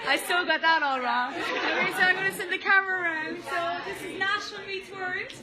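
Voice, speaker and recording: High-pitched bubbly woman hyping up her dance class, crowd chatter all around. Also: fairly quick, very noisy. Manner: bubbly, cheering and teasing. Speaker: dance teacher presenting a segment. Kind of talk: lively casual introductions. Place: hotel dining room with a crowd.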